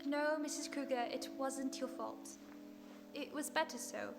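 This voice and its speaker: A woman playing a calm, authoritative female detective in a radio play, speaking in a measured way. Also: high-pitched, vocal fry, over music. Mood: composed. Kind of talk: 1940s radio acting.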